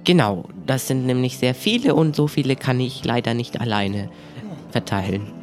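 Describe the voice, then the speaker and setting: A man acts out a courteous, eager but slightly swamped pirate crewman in an improvised audio play. The voice sits at a middle pitch and comes out hesitantly. Music plays clearly behind it. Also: normal speed, close to an everyday voice. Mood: overwhelmed.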